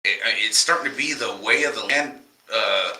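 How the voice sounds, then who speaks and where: Bright, roomy-sounding man, a bit nasal. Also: on the low side, normal speed, far from the mic. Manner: loose, profane, joking. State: slightly nasal from a cold. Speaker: podcast co-host. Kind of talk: casual livestream chat. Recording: roomy echo, off-mic at times.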